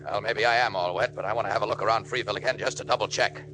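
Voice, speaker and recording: A man's voice: lowish voice, fairly even intonation, very fast, close to an everyday voice. An actor playing a bold, heroic male superhero in a 1940s radio serial, declaiming as he thinks aloud. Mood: musing. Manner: declamatory, exclamatory, talking to himself. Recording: old radio recording, music underneath.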